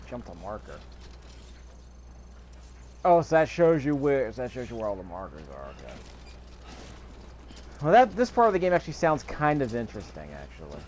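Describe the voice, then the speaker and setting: A man's voice: mid-range voice, darkish tone. A man giving casual let's-play commentary, rambling and thinking aloud as he goes. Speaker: gameplay video host. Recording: clear background noise.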